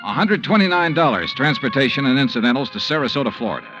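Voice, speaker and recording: A man's voice: medium pitch, sing-song, lightly acted. An actor playing an investigator who dryly reads out his expense account in a 1950s radio drama. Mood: brisk. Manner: brisk, dry itemizing narration. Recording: old radio recording with music.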